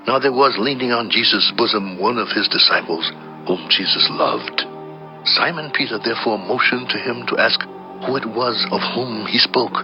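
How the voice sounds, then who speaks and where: A man narrating scripture from a script as a solemn, measured storyteller. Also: lowish voice. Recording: slightly dull, band-limited audio with faint music. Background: faint music.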